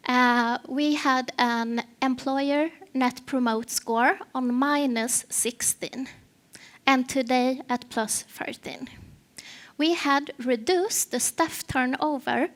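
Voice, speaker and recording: A Swedish-accented woman presents at a conference in English, her second language. Her voice sits high and her delivery is slow, pausing often to search for words. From Sweden. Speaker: conference speaker, HR partner.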